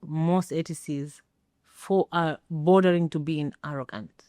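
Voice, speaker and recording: A middle-aged woman telling a personal story as an interview guest, speaking emphatically with pauses for effect. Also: sits low, steady tone, warm tone, no room sound, clean studio sound. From Kenya. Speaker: interview guest, former air traffic controller.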